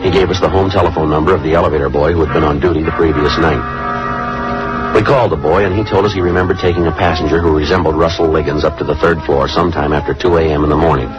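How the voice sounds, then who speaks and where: Casting: low-voiced American police detective, terse and matter-of-fact, brisk, muffled old radio with music behind. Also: male voice. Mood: flat, factual. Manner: clipped, deadpan, terse. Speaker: detective and narrator. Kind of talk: 1950s radio police procedural narration.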